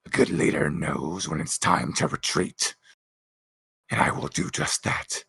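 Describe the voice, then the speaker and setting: A man voicing a menacing doglike villain leader with a raspy growl and grandiose manner in a tabletop game. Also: rumbling low, fairly bright tone, pitched below the performer's own voice, heavily acted. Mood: grudging, threatening. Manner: slow, grandiose.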